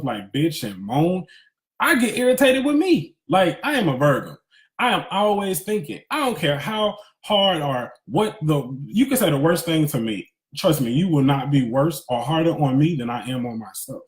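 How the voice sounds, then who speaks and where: Echoey, higher-pitched man giving a pep talk. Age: 30s. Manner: emphatic, preacherly, repeats phrases for emphasis; conversational street-smart slang, candid. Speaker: motivational speaker. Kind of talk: casual spiritual pep talk, livestream. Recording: echoey, roomy sound.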